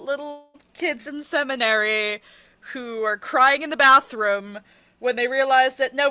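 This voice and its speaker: A woman's voice: fairly high pitch, bright, forceful tone, dry recording. A woman being interviewed as an author, chatting casually in an effusive, slangy, joking way. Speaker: interviewed author of an audio drama.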